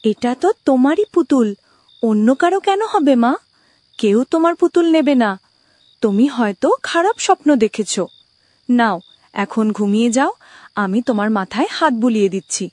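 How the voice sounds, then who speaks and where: A woman plays a reassuring mother in a cartoon tale. The voice is fairly high and affectionate, soothing a child, recorded dry with little room sound. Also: lively intonation, normal speed, a little mellow, no room sound, close to an everyday voice. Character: caring, reassuring mother of a young girl. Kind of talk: Bengali cartoon story acting.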